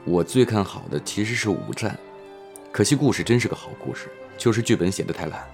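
A man plays a self-doubting actor in an audio drama, with a low voice. His delivery is restrained and gentle. Music sits behind the speech. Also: fairly quick, natural voice. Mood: calm, friendly. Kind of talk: Chinese audio drama acting.

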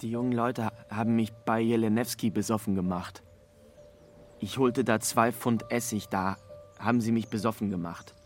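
An actor playing a simple young small-town rag dealer who answers haltingly and evasively in a radio drama. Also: male voice, lowish voice, steady tone, soft background music, lightly acted. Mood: evasive, submissive.

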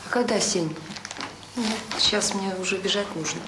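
An actress playing a devoted, busy middle-aged children's home director in a Soviet radio drama, speaking in a soft, conversational, hesitant way. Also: female voice, mid-range voice, moderate pace, close to an everyday voice. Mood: grateful, brisk. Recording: roomy, clearly noisy old radio play recording.

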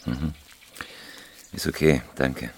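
Parker, deep gravelly cold professional criminal. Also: male voice, fairly even intonation, takes it slowly, slight background noise, full character voice. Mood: laconic. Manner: terse, controlled, commanding. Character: Parker, cold, terse professional male criminal planning robberies. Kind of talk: German radio crime drama acting.

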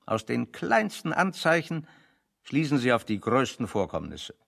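A bookish refugee intellectual in a radio play. His mid-pitched voice is gravelly with fry as he lectures with dry irony and pedantic care. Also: big pitch swings, measured pace, a bit bright, exaggerated voice. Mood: dryly ironic, expounding. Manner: pedantic, dryly ironic, expounding. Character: wry, bookish intellectual refugee, man, holding forth on philosophy. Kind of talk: radio play dialogue, discursive monologue.

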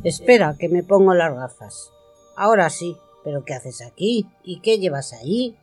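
A woman's voice: on the low side, animated, moderate pace, darkish tone, husky, soft background music. A woman playing a curt, grumbling, lonely older woman in an amateur radio play. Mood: puzzled. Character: grumpy, lonely old woman, curt and dismissive.

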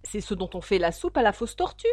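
A woman voicing a tyrannical queen who shouts her orders in a shrill, very loud voice, for a storybook reading. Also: sing-song, a little fast, no room sound. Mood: imperious.

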